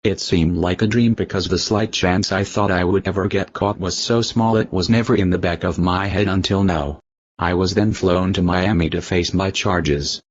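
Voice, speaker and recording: A man's voice: low-pitched, fairly even intonation, moderate pace, lo-fi sound. A man giving scripted interview answers in a stiff, recited way as an unrepentant convict.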